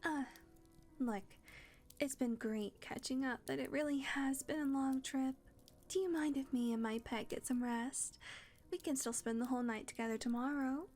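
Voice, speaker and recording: A woman's voice: high voice, normal speed, darkish tone, soft background music, lightly acted. A woman playing a wry, glib vampire fledgling in an audio roleplay, sardonic and placating. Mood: dry flattery. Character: wry female vampire fledgling, flattering her mistress.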